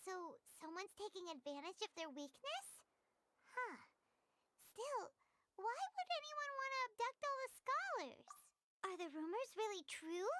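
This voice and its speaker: Squeaky, very high little fairy sidekick. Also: female voice, sing-song, unhurried, bright, forceful tone, full character voice. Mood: curious, puzzled. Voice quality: squeaky, childlike. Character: small childlike fairy companion, female, perky and earnest. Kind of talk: video game dialogue acting. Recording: game music behind the voice.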